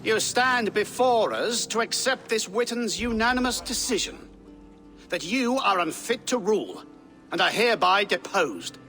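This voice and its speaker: Forceful, formal medieval lord. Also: male voice, high voice, animated, measured pace, big-room sound, soft background music, heavily acted. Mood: stern, formal. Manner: formal, declamatory. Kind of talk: video game cutscene acting.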